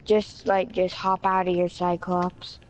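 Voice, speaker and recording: A woman's voice: on the low side, flat delivery, measured pace. A woman chatting casually over an online voice chat party, rambling with lots of "like" and "yeah". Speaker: friend in voice chat. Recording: dull lo-fi voice chat audio.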